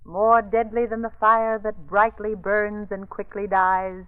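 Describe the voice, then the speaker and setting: A woman's voice: mid-range voice, flat delivery, a little slow, smooth, close to an everyday voice. An actress playing an elegant, teasing society lady with an arch, playful manner in an old radio drama. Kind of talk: 1940s radio acting. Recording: phone-like old radio recording.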